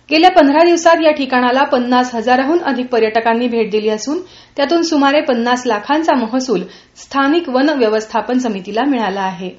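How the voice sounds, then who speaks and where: A woman reads a scripted regional radio news bulletin in a formal, measured way. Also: fairly high pitch, a little mellow. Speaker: radio newsreader. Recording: dull band-limited radio audio, roomy echo.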